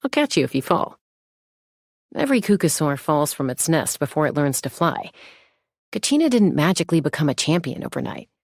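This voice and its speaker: A woman voicing a confident young female gunslinger and peacemaker in a video game, with a cool, measured, self-assured delivery. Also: sits low, very animated, dry recording, clean studio sound, exaggerated voice. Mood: reflective, candid. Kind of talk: scripted game character voice lines.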